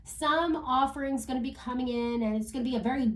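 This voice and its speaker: Hoarse woman giving a chatty tarot reading. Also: high voice, fast talker, far from the mic, low-quality recording. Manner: chatty, filler-heavy, talks to spirit and viewers. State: hoarse, losing her voice from a cold. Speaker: tarot reader. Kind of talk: casual tarot reading to camera. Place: upstairs room at home.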